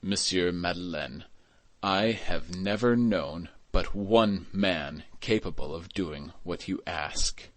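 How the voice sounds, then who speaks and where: A stern, suspicious storybook official, acted by a male narrator. He talks low and slow, stressing each word with deliberate, indifferent coldness. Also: a bit bright, dry recording. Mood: cold, insinuating. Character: stern, suspicious, coldly watchful male authority.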